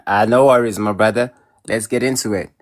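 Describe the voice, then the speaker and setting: A man's voice: lowish voice, steady tone, brisk. A man making an assertive, point-by-point rebuttal in an online debate. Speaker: debate participant.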